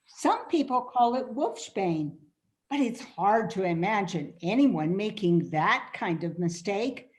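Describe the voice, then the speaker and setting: A woman's voice: medium pitch, expressive, slow. A woman reading a curious, earnest woman traveler in a radio play. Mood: alarmed.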